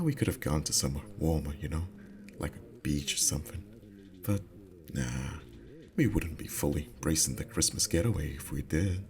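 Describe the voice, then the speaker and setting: Very deep, soft-spoken boyfriend played by a man. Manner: soft, intimate, slipping into whispers. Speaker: voice actor. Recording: faint ambient background noise.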